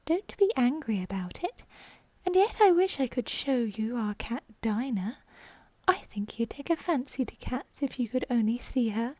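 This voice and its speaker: A woman's voice: fairly high pitch, expressive, normal speed, a little mellow, no echo. A woman voicing a polite young girl from a storybook, eager and apologetic as she chatters. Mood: fond chatter.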